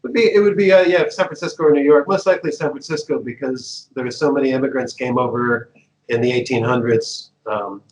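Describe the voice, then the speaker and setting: An American man in his fifties talks through his life story in a relaxed interview, voice pitched in the middle range. He hesitates, restarts and laughs often as he remembers. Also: fairly quick, poor audio. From United States. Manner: chatty, laughs often, many fillers and restarts. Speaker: guest.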